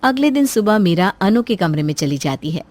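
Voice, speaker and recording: A woman's voice: mid-range voice, big pitch swings, moderate pace, a little mellow, no room sound. A woman narrating a scripted family moral story as its storyteller.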